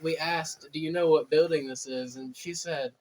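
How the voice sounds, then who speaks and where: A man chatting casually, often chiming in and cutting in with quick remarks. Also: a little high, steady tone, a little mellow, poor audio. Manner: chiming in, interjecting, laughing. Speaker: drummer. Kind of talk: casual chat.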